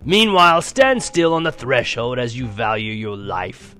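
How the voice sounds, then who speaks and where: A man's voice: medium pitch, sing-song, unhurried, pushed and bright, faint music behind. A man voicing a huge, fierce, growling wolf who gives menacing commands in a storybook reading. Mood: stern. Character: huge fierce male wolf, secret police chief.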